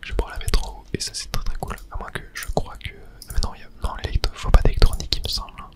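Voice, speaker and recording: Soft whispering gaming ASMR host. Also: racing. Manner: casual, hesitant, thinking aloud. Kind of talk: whispered ASMR game commentary.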